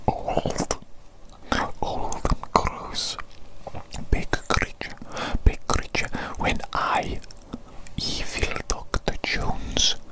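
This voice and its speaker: A performer voicing a pompous evil mad doctor in a whispered ASMR roleplay, deliberate and theatrical, repeating phrases. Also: bright, forceful tone, poor audio. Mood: disgusted, then pompous, scolding. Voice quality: breathy unvoiced whisper. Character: pompous evil mad doctor tending his monstrous creation.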